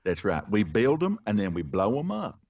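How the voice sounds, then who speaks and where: A middle-aged man, an audiobook narrator, chatting as a guest in an interview with halting restarts and a relaxed chuckle. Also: fairly low pitch, dry recording. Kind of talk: casual interview chat. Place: home studio with poster behind. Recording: dry, slightly dull video call audio.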